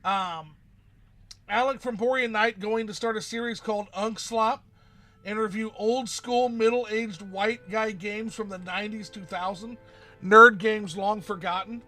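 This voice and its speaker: Male streamer host, high and very bright voice, loose rambling chat. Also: fairly even intonation, measured pace, faint music behind. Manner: rambling, reads out viewer chat and answers it. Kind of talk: casual livestream chat about games.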